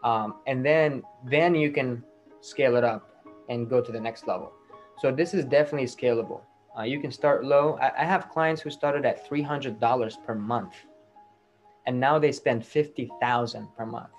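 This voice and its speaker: Guy doing a reassuring sales call, kinda high-ish everyday male voice not needed, music underneath. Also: moderate pace. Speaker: marketing agency salesman.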